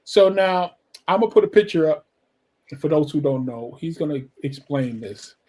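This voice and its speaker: A man's voice: on the high side, expressive, normal speed, dark tone. Hyped-up male radio show host. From Brooklyn, New York. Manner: friendly, hyped, repeats "so now". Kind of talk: casual interview.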